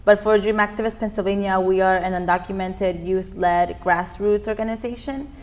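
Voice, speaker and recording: A woman's voice: medium pitch, fairly even intonation, normal speed, a little mellow. A young woman from Peru, a youth immigrant activist, giving earnest interview answers with frequent ums. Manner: earnest, many fillers.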